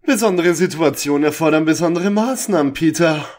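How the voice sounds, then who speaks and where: A man's voice: a little high, a little fast, a little mellow, lightly acted. A man playing a stiff, exasperated young detective in an audio drama parody. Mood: earnest.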